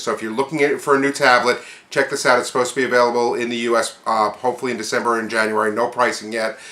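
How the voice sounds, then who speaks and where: A man's voice: mid-range voice, bright, forceful tone, roomy sound. A man hosting a tech news podcast, running through items in an informal, chatty, conversational way with casual commentary.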